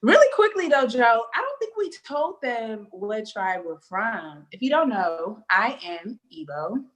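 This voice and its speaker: A young woman co-hosting a casual live stream, playful and animated, laughing often as she reads viewer comments. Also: on the high side, unhurried. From United States. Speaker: co-host.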